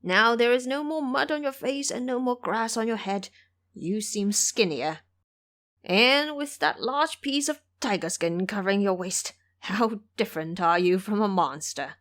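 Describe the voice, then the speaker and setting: A woman's voice: medium pitch, unhurried, fairly bright tone, natural voice. A woman voicing a kindly, very old village man with a deferential, wondering manner in an audio drama. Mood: reminiscing.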